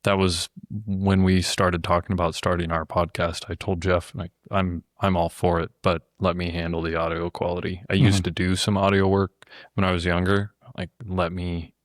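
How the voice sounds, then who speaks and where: A man speaking as a podcast guest in a casual conversation, easygoing and measured. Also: low voice, no echo, clean studio sound. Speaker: podcast guest, business co-founder.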